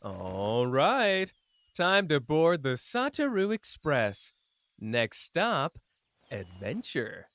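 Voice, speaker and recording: A man's voice: on the high side, very animated, drawn-out words, a bit bright, no echo, heavily acted. A man playing a cocky, tender young male sorcerer in an intimate ASMR romance roleplay, with a playful, teasing, casual manner.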